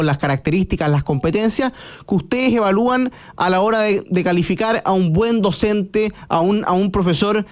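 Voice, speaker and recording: High-voiced Chilean radio host. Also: male voice. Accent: Chilean Spanish. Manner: lively questioning, piles up rephrased questions. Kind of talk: radio interview.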